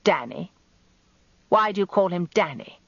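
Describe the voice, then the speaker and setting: A woman voicing a gruff older male barrister husband, terse, dry and sardonic, in a radio drama. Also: fairly low pitch, animated, unhurried, fairly bright tone, no room sound, muffled recording, exaggerated voice. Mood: suspicious, testy.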